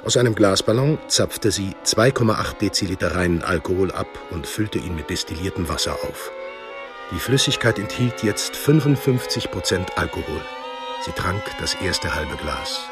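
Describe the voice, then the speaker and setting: A man's voice: sits low, lively intonation, moderate pace, music in the background. A man narrating a scripted radio drama as a storyteller.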